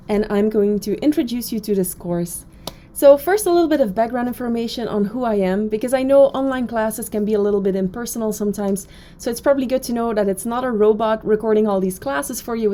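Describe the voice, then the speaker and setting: A woman's voice: fairly high pitch, fairly quick, mellow, a little hiss or hum. A woman in her thirties from Belgium, with a Belgian accent, giving a chatty, upbeat recorded introduction to her online course from her office, with frequent fillers. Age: 30s. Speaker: professor.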